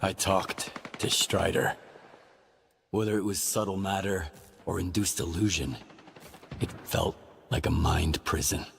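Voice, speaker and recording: An actor playing a determined, earnest veteran soldier in a video game cutscene, terse and steady. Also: male voice, deep voice, measured pace, vocal fry, pauses often, a little hiss or hum. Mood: subdued.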